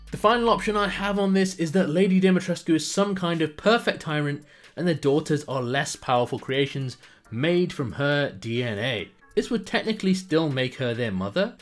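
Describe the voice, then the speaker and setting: A man with a fairly high voice presents a scripted gaming video essay. He speaks as an enthusiastic channel host with emphatic phrasing, over background music.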